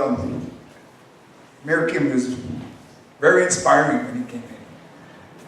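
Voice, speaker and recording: In a heavily reverberant hall, a middle-aged man speaks some way from the mic. His voice sits a little high as he delivers an earnest campaign speech, halting often for effect. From Hawaii. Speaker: county council candidate. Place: high school hall public forum. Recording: echoey hall, distant mic, faint noise.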